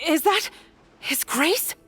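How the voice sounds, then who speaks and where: Game prisoner: frightened young girl, frail, halting, extremely high voice, music underneath. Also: animated, a bit bright, full character voice. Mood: pained, timid. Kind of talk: dramatic video game acting.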